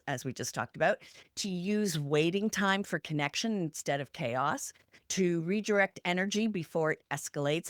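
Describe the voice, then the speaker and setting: A woman's voice: on the low side, dry recording, clean studio sound. A woman hosting a podcast, talking through practical examples in an earnest, instructional way. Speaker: podcast host. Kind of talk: structured explanatory talk to listeners.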